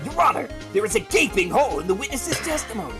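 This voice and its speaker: Player acting a brash young male lawyer, animated pitch swings, accusing, shouted objections, game dub. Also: fast, music in the background. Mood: triumphant, accusing.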